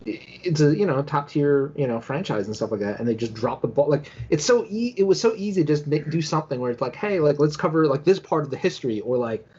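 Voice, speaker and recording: A man's voice: fairly high pitch, expressive, fast talker, hardly any pauses, a little mellow, slightly rough. A man co-hosting a podcast in casual, rambling talk full of filler phrases. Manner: rambling, filler-heavy with many "you know"s. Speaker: podcast co-host. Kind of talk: casual chat. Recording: dull, lo-fi voice recording.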